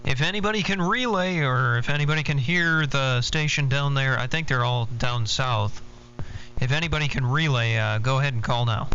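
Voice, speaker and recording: A man's voice: mid-range voice, moderate pace. A man running a CB radio check-in, calling stations in a procedural, repetitive way. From Arkansas, United States. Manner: procedural, repeats himself. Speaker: CB radio net controller. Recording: dull CB radio audio, loud hum.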